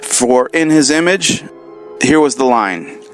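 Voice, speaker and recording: American man, steady listener comment. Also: mid-range voice, takes it slowly, faint music behind. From United States. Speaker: listener contributor.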